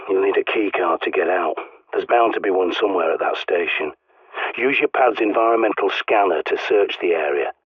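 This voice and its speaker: Gruff british prepper on a radio, deep husky voice, dry knowing tone. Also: male voice, no echo. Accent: British English. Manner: dry, knowing, conspiratorial instructions. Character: gruff ex-army male survivalist, middle-aged, secretive. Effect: radio transmission. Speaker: game character, survivalist guide. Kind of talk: video game voice lines. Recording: tinny radio-filtered line.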